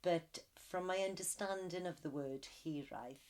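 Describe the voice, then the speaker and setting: A woman's voice: fairly low pitch, a little slow, darkish tone. A middle-aged woman answering interview questions carefully, with many qualifications and ums.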